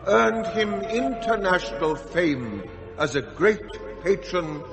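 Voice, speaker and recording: Solemn eulogist, radio drama, formal, declamatory, rather high pitch, expressive intonation, music behind. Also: male voice, heavily acted. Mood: reverent, grandiose. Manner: declamatory, measured. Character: solemn, reverent male eulogist praising the dead.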